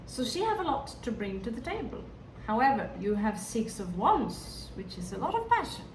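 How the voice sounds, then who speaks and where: A woman's voice: fairly high pitch, sing-song, moderate pace. A woman with a Hindi-influenced accent, giving a tarot reading to her viewers in a musing, hesitant way that often addresses them directly. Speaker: tarot reader. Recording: echoey room, distant mic, background noise.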